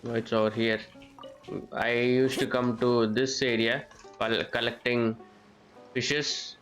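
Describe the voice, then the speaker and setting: Slow, halting male game streamer. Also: mid-range voice, level tone, a bit bright, soft background music. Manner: halting, searching for words. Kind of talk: casual live gameplay commentary.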